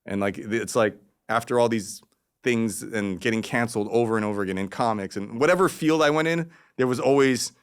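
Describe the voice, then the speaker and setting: A man's voice: mid-range voice, a little fast, clean tone. A man from Los Angeles, a podcast guest, telling stories in a casual, profane, rambling way with frequent restarts. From Los Angeles, Koreatown. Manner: acts out quoted voices. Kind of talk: casual storytelling.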